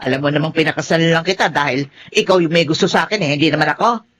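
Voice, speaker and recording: A performer voicing a bitter, sharp-tongued elderly wife in a Filipino audio drama, snappy and indignant, with a Filipino accent. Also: mid-range voice, racing, slight background noise, close to an everyday voice.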